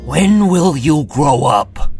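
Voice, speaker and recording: Radio drama detective, male actor: weary, terse, creaky voice, swinging intonation, faint music behind. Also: fairly high pitch, exaggerated voice. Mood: exasperated. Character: weary, stern 1920s male police detective. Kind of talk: radio play acting.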